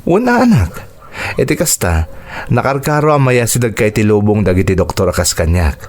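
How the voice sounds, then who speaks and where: A man with an Ilocano accent playing a kindly, upbeat doctor and devoted father in a radio drama, with a warm, encouraging manner. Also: on the low side, sing-song, normal speed. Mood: fatherly, affectionate.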